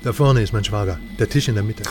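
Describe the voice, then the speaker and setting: A man's voice: lowish voice, fast, background noise, close to an everyday voice. An actor playing an investigator's chatty, wry male friend in a radio crime drama, giving colloquial, amused commentary. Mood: amused excitement.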